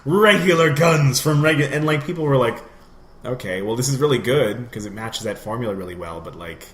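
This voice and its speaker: A man's voice: mid-range voice, animated, fairly quick, fairly bright tone. A man chatting casually and ramblingly as a podcast co-host. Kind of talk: casual gaming chat. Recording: roomy echo, clear background noise.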